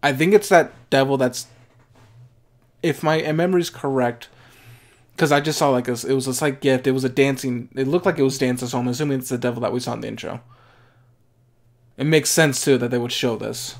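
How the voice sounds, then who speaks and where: A man's voice: mid-range voice, a little fast, long pauses. A young man hosting a casual anime reaction, thinking aloud as he watches, with restarts and asides, recorded on a headset in a bedroom. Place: bedroom at home, indoors.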